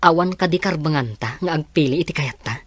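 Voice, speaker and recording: A man's voice: fairly high pitch, animated, fast, a bit bright, slightly rough, no room sound. A woman voicing an earnest young office worker man, speaking with an Ilocano accent. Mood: questioning. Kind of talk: audio drama acting.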